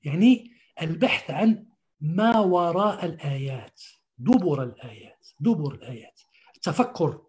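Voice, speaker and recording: A man's voice: on the high side, lively intonation, quick pace, darkish tone. A man giving an earnest religious talk, repeating words for emphasis. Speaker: religious teacher. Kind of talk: religious talk answering questions.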